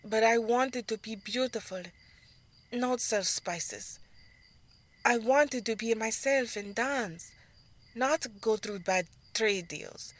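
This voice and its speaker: A woman playing an earnest, wistful young belly dancer recounting her life in a fantasy audio drama, speaking in a measured way. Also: a little high, no room sound. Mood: earnest, defiant.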